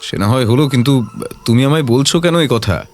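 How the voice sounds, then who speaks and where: An actor playing a self-assured detective in an audio drama, measured and confident, kindly but commanding. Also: male voice, mid-range voice, lively intonation, natural voice.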